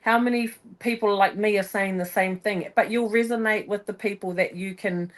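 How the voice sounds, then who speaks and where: A woman's voice: medium pitch, brisk. A woman chats as a guest on a podcast. She talks in bursts, full of energy, breaking off and restarting her sentences as her thoughts run ahead. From New Zealand. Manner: energetic, rambling, self-interrupting. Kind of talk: casual conversation.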